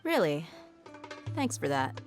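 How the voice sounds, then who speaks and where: A woman's voice: deep voice, very animated, slow pace, loud music behind the voice, exaggerated voice. An actress playing an easygoing, worldly mercenary woman in a video game, casual and wry. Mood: relaxed.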